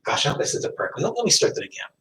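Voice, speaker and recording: A math teacher whose first language is French, lecturing a class and thinking aloud, often stopping to rephrase. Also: male voice, rapid-fire, background noise. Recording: echoey room, distant mic.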